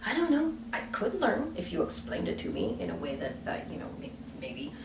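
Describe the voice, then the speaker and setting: A woman's voice: fairly low pitch, huge pitch swings, brisk, slight background noise. A woman vlogging in a casual, chatty storytelling way, full of fillers and restarts. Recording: echoey room from a distance with faint ambience.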